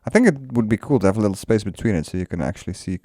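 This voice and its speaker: A man's voice: lowish voice, lively intonation, racing, mellow, no echo, studio quality. A man whose first language is Dutch, casually commenting while he builds in a game, musing aloud and laughing often. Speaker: let's play creator.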